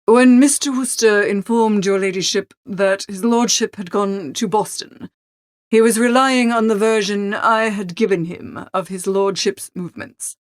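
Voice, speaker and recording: A woman voicing a calm, deferential male valet in an audiobook, soft-spoken, formal and measured. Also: mid-range voice, no echo, clean studio sound, natural voice. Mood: smoothly deferential. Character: unflappable, deferential English valet, a male servant. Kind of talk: audiobook character acting.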